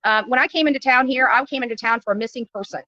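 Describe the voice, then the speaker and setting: A woman's voice: on the high side, racing, bright, forceful tone, no echo, distant mic, highs cut off. A woman livestreamer and activist giving an impromptu press interview, speaking emphatically and indignantly and repeating phrases for force. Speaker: YouTube livestreamer and missing-person activist being interviewed. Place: at a county jail, press interview.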